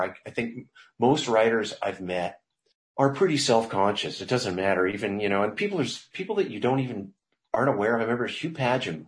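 A middle-aged man, a songwriter guest, talking loosely in an interview, rambling with restarts. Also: lowish voice. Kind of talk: casual interview talk.

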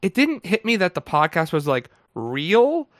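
A man's voice: sits high, big pitch swings, unhurried, no echo, studio quality. A man from Pennsylvania, a guest on a podcast, chatting casually, stammering and restarting often.

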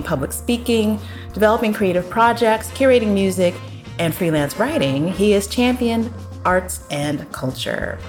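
A woman hosting an interview podcast, upbeat and lively, with many short affirmations as she listens. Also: medium pitch. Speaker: podcast host. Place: guest's home neighborhood.

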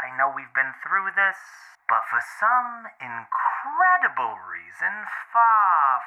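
A very bright, sharp-edged man played by an actor swings widely in pitch as he rambles. He is a wisecracking, sweary, anxious boyfriend in a found-footage audio drama, given to sardonic asides. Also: lo-fi sound, full character voice. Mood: uneasy, exasperated. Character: anxious, wisecracking, foul-mouthed boyfriend named Robert.

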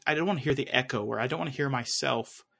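A man's voice: mid-range voice, fast talker, creaky, bright, forceful tone. A young adult man co-hosting a podcast, talking casually about tech with lots of fillers and restarts. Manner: rambling, full of fillers and restarts. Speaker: podcast co-host.

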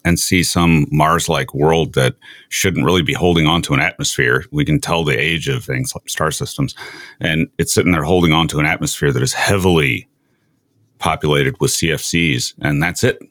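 A man hosting a conversational science discussion, talking in a measured way with short emphatic phrases. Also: extremely low pitch, a bit bright, no room sound. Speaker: show host, interviewer.

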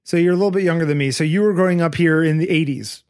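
A man's voice: a little high, racing, a little mellow. A man in his forties from Connecticut hosting a podcast, asking curious, rambling questions full of fillers. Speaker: podcast host. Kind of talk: casual interview chat. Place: painting studio indoors.

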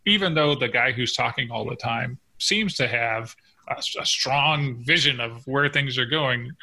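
A man's voice: mid-range voice, a little slow, vocal fry, pushed and bright. A man co-hosting a podcast, talking casually and reflectively about working life. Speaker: podcast co-host. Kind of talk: casual workplace discussion.

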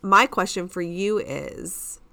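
A woman's voice: fairly low pitch, takes it slowly, gravelly, bright, forceful tone, dry recording. A woman co-hosting a theater podcast, chatting and bantering casually.

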